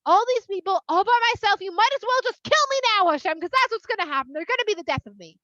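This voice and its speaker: A woman's voice: very high voice, sing-song, brisk, pushed and bright, dry recording, highs cut off, voice pushed up, exaggerated voice. A woman voicing an overwhelmed male leader who pleads frantically that he cannot cope. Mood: exasperated. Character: exasperated overwhelmed male leader at wits' end.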